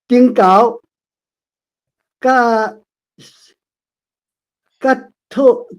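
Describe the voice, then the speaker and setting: A woman's voice: on the high side, fairly even intonation, extremely slow. A woman with a Taiwanese accent reading a script aloud hesitantly, sounding out words piece by piece over an online call. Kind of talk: halting read-aloud. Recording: dull lo-fi online call audio.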